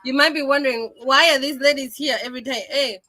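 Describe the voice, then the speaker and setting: Ugandan woman, high and bright voice, cheerful, laughs a lot, video call. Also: steady tone, quick pace. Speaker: guest. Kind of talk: self-introduction.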